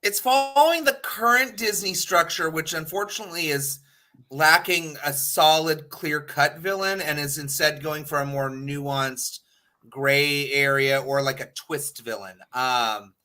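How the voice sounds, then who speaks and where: A man's voice: a little high, unhurried, pushed and bright. A man talking casually and bluntly as a panelist on a talk show, opinionated and freely swearing. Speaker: podcast panelist.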